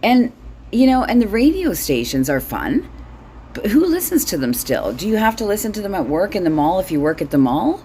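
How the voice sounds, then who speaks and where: A woman's voice: mid-range voice, very animated, brisk, warm tone, a little hiss or hum. A middle-aged woman chatting casually in a comedic monologue, blunt, wry and sweary, as the host of her own show. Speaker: solo host.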